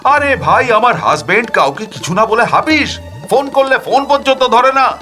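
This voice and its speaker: A man voicing an angry married woman in an audio story, shrill, indignant and haranguing. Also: expressive, normal speed, sharp tone, faint music behind, exaggerated voice. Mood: accusing. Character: angry, scolding married woman accosting a supposed husband.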